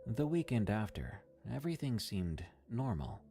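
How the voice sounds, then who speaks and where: A man reading a first-person horror story in a measured, somber scripted narration. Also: fairly low pitch, sing-song, mellow, faint music behind.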